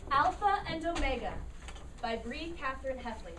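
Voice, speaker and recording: A woman's voice: sits high, lively intonation, moderate pace, recorded from a distance, noisy background. A woman giving scripted narration, reading out stage directions at a staged reading.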